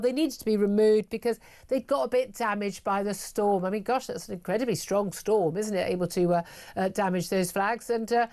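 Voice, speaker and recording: A woman's voice: medium pitch, darkish tone. A woman hosting a political talk radio show, emphatic and sarcastic. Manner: emphatic and sarcastic, many fillers.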